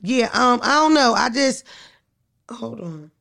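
Deep gravelly-voiced woman, podcast host, blunt and animated, swears a lot. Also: slow pace. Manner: animated storytelling, blunt and profane. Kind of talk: casual chat.

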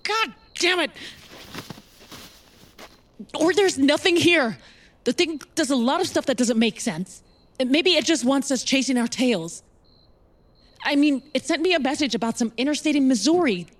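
A woman's voice: sits high, lively intonation, normal speed, pauses often. An American actress playing a weary, strained mother haunted by evil in an audio drama. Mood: frustrated, angry. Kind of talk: audio fiction acting, dialogue and first-person narration.

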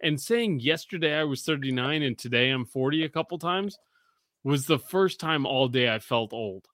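Bright-voiced man in his forties, rambling. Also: mid-range voice, animated, normal speed, dry recording, clean studio sound. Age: 40s. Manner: rambling storyteller, swears freely. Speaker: podcast host. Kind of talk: casual podcast banter.

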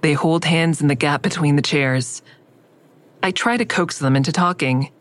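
Low-voiced, introspective female narrator played by an actress. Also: normal speed, a little hiss or hum, natural voice. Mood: reflective, observant. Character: observant, wry female counselor narrating her own story. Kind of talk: first-person scripted narration.

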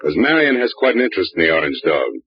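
A deep-voiced man plays a hard-boiled private eye, narrating in a dry, clipped, wisecracking way. The sound is dull and muffled, like an old radio broadcast. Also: very animated, quick pace, husky, dry recording. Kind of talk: 1940s radio drama narration.

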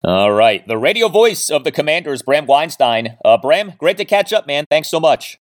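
A man's voice: on the high side, very animated, normal speed. A man hosting a sports podcast, asking interview questions and recapping news.

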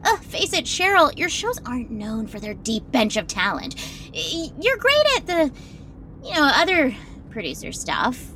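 A woman's voice: high-pitched, huge pitch swings, measured pace, sharp tone, slight background noise, heavily acted, rough recording. An actress playing a vain, self-absorbed female stage star in a modern audio drama, with a sarcastic, gossipy, theatrical manner. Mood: wheedling, condescending.

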